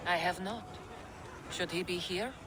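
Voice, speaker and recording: A man's voice: high voice, unhurried, over a noisy crowd, heavy background noise. A man playing a hearty Viking warrior at a feast in a video game cutscene.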